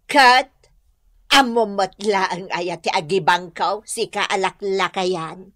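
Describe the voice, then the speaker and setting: An actress with an Ilocano accent playing a practical, grudging wife in a radio drama. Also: female voice, medium pitch, takes it slowly, fairly bright tone. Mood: grudging, doubtful. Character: practical, reluctant wife guarding the household money.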